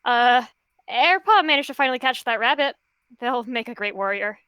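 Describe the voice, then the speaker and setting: A nervous young she-cat, acted by a woman, stumbles and hesitates over her words. Her voice sits on the high side and the sound is dry and close. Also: lively intonation, normal speed, pushed and bright, clean tone, no echo. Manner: hesitant, stammering.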